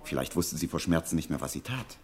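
An actor playing a harried, plain-spoken theatre stage manager in a German radio crime drama, slightly flustered and hesitant. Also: male voice, rumbling low, lively intonation, quick pace, a little mellow. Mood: baffled.

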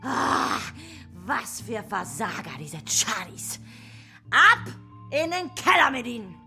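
Villainous boss lady: high, wildly animated, imperious, short-fused, radio play for kids. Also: slow pace, faint music behind, exaggerated voice. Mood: furious, scornful. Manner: imperious, scornful, ranting. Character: tyrannical, hot-tempered female villain boss. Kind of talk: children's radio play acting.

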